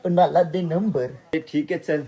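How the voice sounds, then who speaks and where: A man's voice: a little high, fairly even intonation, measured pace, warm tone, poor audio. A young man from Maharashtra, chatting casually as a vlogger in a playful, teasing way, tossing in slangy English catchphrases. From Maharashtra, India. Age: young adult. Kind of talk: casual vlog chat.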